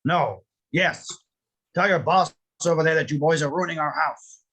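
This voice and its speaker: A man acting a grumpy, stubborn elderly widower in a script table read, with a husky, rough voice and curt, grumbling delivery. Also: on the high side, moderate pace, fairly bright tone. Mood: gruff, indignant.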